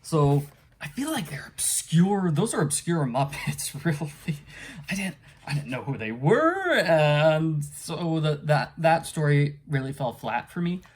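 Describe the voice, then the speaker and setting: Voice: mid-range voice, big pitch swings, measured pace, slightly rough. Casual vlog-style book reviewer, unscripted and hesitant, rambles and trails off with small chuckles.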